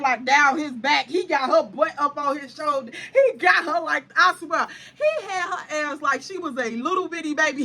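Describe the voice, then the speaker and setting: A woman vlogger telling a wild story with animated, profane, dramatic flair. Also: sits high. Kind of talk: storytime vlog.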